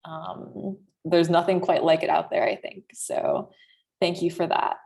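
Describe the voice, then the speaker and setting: A woman's voice: on the low side, flat delivery, a little slow, vocal fry, darkish tone. A woman moderating an online exhibition, praising the artists and handing over to the next group. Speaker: event moderator. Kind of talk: online event hosting.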